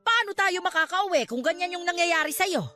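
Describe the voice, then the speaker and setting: A woman's voice: very high voice, fairly quick, sharp tone, no echo, soft background music. An actress playing a stern, superstitious Filipino aunt who scolds her nephew emphatically in a radio drama. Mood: worried.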